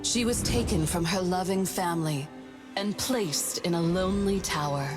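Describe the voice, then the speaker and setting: A woman acting as a solemn storybook narrator, telling a dark fairy tale in a measured way. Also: lowish voice, loud music behind the voice, close to an everyday voice. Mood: solemn, ominous. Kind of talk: scripted narration.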